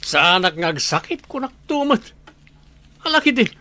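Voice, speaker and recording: A man's voice: high-pitched, sing-song, moderate pace, sharp tone, no room sound, full character voice. A man with an Ilocano accent playing a carefree, boastful hard-drinking husband in a radio drama, teasing and cajoling. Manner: teasing, swaggering.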